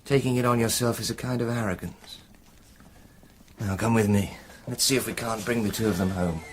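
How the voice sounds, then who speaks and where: An actor playing a sensible, commanding man of authority in a period radio drama, brisk and decisive but kindly. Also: on the low side, steady tone, close to an everyday voice. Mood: firm reassurance.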